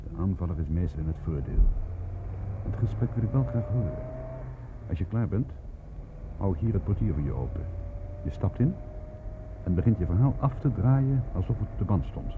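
An actor playing a shrewd police inspector in a Dutch radio play, with a clipped, dryly ironic way of talking. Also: male voice, low voice, animated, moderate pace, pauses often. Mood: dry, knowing. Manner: clipped questioning, dry irony. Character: shrewd, dry-witted male police inspector, brusque but helpful. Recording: muffled, noisy old radio play recording.

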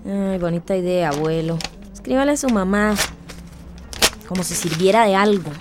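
A woman's voice: medium pitch, moderate pace, noisy background, natural voice. An actress playing a bitter, restless young woman in a radio drama, with a Costa Rican accent. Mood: fed up, scornful. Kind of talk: Spanish-language radio drama acting.

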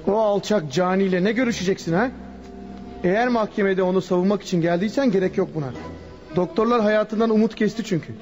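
An actor playing a stern, suspicious police guard in a radio drama, challenging and officious in manner. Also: male voice, sits high, fast, a little mellow, soft background music, lightly acted. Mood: hostile, suspicious. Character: gruff, hostile, suspicious policeman guarding a patient. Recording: dull lo-fi radio recording.

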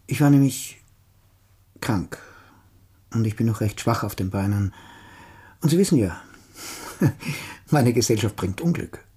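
Very raspy, frail prophet of doom. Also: male voice, mid-range voice, lively intonation, unhurried, long pauses, dark tone. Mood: self-pitying, wry. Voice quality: very husky, raspy. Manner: morbid, ominous. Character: frail, gloomy man who styles himself as doom. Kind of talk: radio drama acting.

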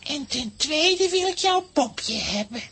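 Firm young child, high sharp voice, deliberate and slow, children's radio drama. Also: female voice, lively intonation, exaggerated voice. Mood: demanding. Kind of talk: children's audio play acting.

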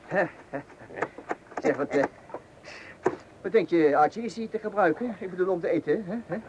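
Man playing an excitable high-voiced amateur angler. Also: normal speed, dull audio, natural voice. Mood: pleased, puffed. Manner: stammering, repeating words when flustered. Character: excitable, boastful amateur angler, naive and easily flustered. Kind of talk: radio serial comedy acting. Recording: old radio recording with clear hiss.

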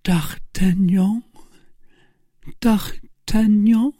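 A narrator voicing a fragile, devoted young woman in anguish, breathy and exclaiming, for a classic story. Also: medium pitch, slow, darkish tone, no room sound, studio quality, pitched above the performer's own voice. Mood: dying, pleading.

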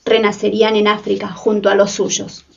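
A woman's voice: mid-range voice, monotone, moderate pace, creaky, recorded from a distance, highs cut off. A woman reading scripted narration for a radio play. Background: music.

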